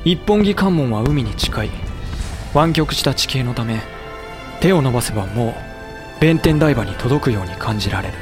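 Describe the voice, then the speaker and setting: Guy playing a composed samurai official telling of a lost war, measured and grave, mid pitch, music behind. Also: lively intonation, close to an everyday voice. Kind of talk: period voice drama acting and narration.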